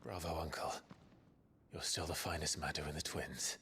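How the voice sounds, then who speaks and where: A man's voice: rumbling low, steady tone, moderate pace, bright, forceful tone, slight background noise, exaggerated voice. A young man playing an earnest, reserved hero speaking to his uncle in a video game cutscene. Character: earnest, serious young hero, respectful nephew. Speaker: nephew, traveler seeking a ship.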